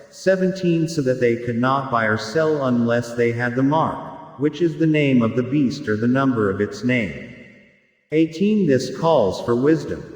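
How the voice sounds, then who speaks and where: A man's synthetic text-to-speech voice giving a formal, measured scripted reading of scripture. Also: medium pitch. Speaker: scripture reader. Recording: echoey hall with long reverb.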